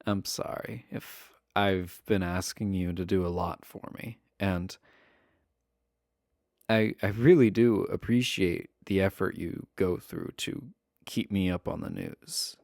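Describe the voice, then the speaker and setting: A man's voice: low voice, slow, gravelly, darkish tone, no room sound, studio quality, heavily acted. A man playing a shy, adorkable imprisoned villain in a romantic roleplay, speaking to the listener in a hesitant, stammering, earnest way. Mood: earnest, wistful.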